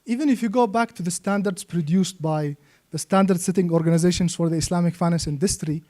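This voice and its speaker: A man's voice: high voice, fast, mellow. A man from Yemen explains material as a seminar trainer, often checking understanding with short tag questions. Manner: checks understanding with frequent "okay?" and "right?". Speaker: trainer and Islamic finance expert.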